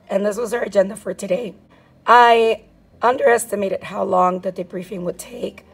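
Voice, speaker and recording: A hoarse woman in her forties talks to her phone at arm's length from a classroom. Her voice is strained and rough, and her delivery is chatty and easygoing, a teacher catching viewers up on her day. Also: mid-range voice, a little mellow, poor audio. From South Florida. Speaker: fourth grade teacher. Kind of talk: casual vlog talk to camera. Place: classroom and car, indoors. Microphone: phone held at arm's length.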